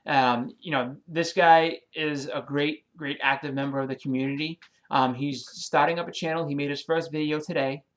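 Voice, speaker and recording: Brief: Boston-accented man, a touch high-pitched, casual rambling vlogger, thanks subscribers, fillers galore. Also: fairly even intonation, moderate pace, hardly any pauses, a bit bright. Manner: rambling, many ums and you-knows, restarts; friendly, apologetic. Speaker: comic and movie YouTuber.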